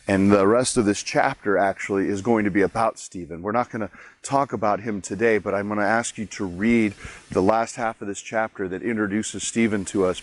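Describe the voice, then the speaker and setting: Man, pastor, low-pitched voice, conversational Bible teaching, repeats words as he explains. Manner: conversational, explanatory, with frequent restarts. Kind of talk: daily devotional Bible teaching.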